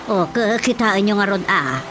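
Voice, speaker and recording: A woman's voice: mid-range voice, animated, normal speed, lightly acted. A woman with a Filipino accent playing a nagging, gossipy chairman's wife in a radio drama, pressing and scolding. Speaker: actor. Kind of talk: Ilocano radio drama comedy acting. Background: music.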